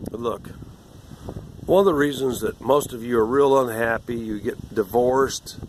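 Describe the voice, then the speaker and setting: A man in his sixties with a rough, irregular voice, rambling and lecturing condescendingly in a video monologue. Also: medium pitch, unhurried, slight background noise, poor audio. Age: 60s. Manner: condescending, lecturing, meandering with restarts. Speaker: vlogger.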